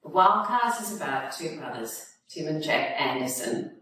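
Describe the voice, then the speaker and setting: Aussie woman reading her novel out loud, lowish voice, really echoey room. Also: lively intonation, normal speed. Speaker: author reading own novel. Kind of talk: audiobook-style reading of fiction. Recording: echoey room, distant mic, faint noise.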